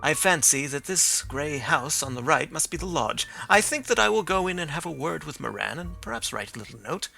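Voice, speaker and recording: A sharp, self-assured detective, voiced by a male narrator, laying out his reasoning in crisp, certain lines. The voice is bright and forceful with a gravelly rasp, and the pitch moves in a lively way. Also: a little fast, few pauses, faint music behind, full character voice. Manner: crisp, assured statements, sometimes low murmurs to himself. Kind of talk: audiobook reading.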